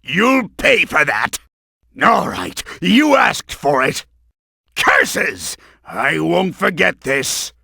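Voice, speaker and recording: A man's voice: a little high, sing-song, extremely slow, creaky, sharp tone, slightly rough, no echo, studio quality, full character voice. A man voicing a bombastic, scheming middle-aged mad-scientist villain in a video game, theatrical and blustering, with a hot temper. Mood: angry, threatening.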